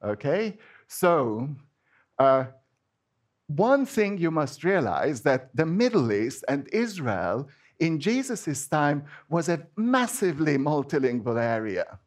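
A man's voice: on the high side, big pitch swings, slow. A man with a Hungarian accent, a native Hungarian speaker, giving an informal sermon in a chatty, hesitant way with many restarts. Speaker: preacher.

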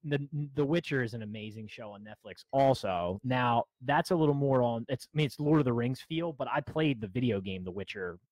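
A man's voice: medium pitch, a little fast, hardly any pauses, darkish tone, no room sound, low-quality recording. A man co-hosting a podcast, chatting casually and joking, swearing freely. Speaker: podcast co-host. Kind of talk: casual chat.

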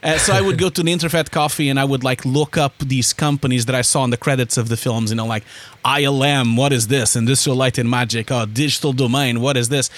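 A man, a podcast guest in casual interview chat who tells his story in an animated, rambling way with many restarts. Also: medium pitch, quick pace. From Portugal.

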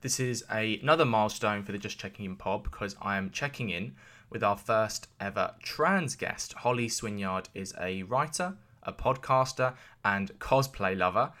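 Guy doing podcast host stuff, lowish pitch, bright forceful voice, chatty. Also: normal speed. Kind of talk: scripted intro and casual interview.

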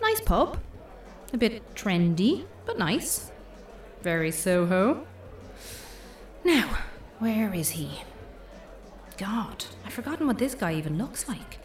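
A woman acting in an audio comedy drama as the mischievous, mouthy inner voice of a young woman weary of dating, sardonic, exasperated and quick to swear. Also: medium pitch, very animated, reverberant, far from the mic. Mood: jaded, restless.

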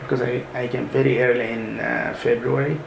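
A man in his thirties from Sudan, softly recalling his move abroad in an unhurried way with many pauses. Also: mid-range voice, flat delivery, gravelly. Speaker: interviewee. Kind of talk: casual personal recollection. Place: room in a mosque. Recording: echoey room with ambient noise.

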